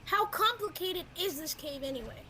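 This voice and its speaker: A woman's voice: very high-pitched, lively intonation, normal speed, bright, forceful tone, exaggerated voice. A teenage woman acting an anxious, sceptical survivor in a radio theatre play. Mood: exasperated. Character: anxious, sceptical trapped survivor, quick to blame. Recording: echoey room, faint noise.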